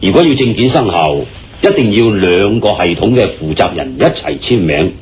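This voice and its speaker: A man with a Hong Kong Cantonese accent playing a genial, commanding police chief in a radio drama, speaking in a hearty, deliberate, theatrical way. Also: on the low side, big pitch swings, a little mellow. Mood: grave, cautioning. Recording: muffled lo-fi radio drama with music.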